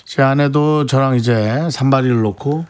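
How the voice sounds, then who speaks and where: A man with a mid-range voice co-hosting a relaxed radio talk show. He tells little stories and keeps repeating a playful joke. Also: medium pitch, moderate pace. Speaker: radio co-host. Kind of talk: casual radio chat.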